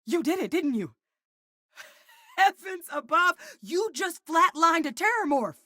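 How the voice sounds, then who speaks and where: Low-voiced, earnest woman scientist played by an actress. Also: normal speed, no echo, clean studio sound, natural voice. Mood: excited, shouting. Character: grave, dedicated woman scientist in a space-faring military. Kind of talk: video game character dialogue.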